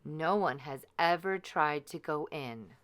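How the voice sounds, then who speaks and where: A woman voicing a kindly good fairy from a children's storybook, gentle and solemn as she pronounces. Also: low-pitched, slow pace. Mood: helpful. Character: kindly, gift-giving good female fairy.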